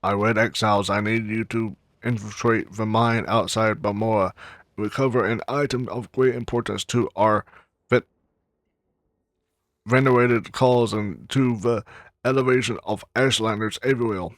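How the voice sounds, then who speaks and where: A man records a game playthrough with a slightly low voice. He reads dialogue and written text aloud as he plays. The sound is dry, with little room echo. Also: steady tone, moderate pace.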